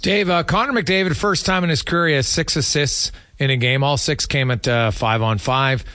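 A man hosting a sports talk show, giving unscripted analysis and statistics in a somewhat halting way. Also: medium pitch, fairly even intonation, pushed and bright, dry recording, studio quality. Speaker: sports radio host.

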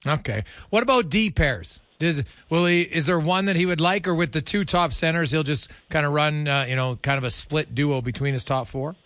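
A man's voice: fairly high pitch, moderate pace, hardly any pauses, a bit bright, dry recording. A man hosting a sports radio show, asking questions in a casual, conversational interview style.

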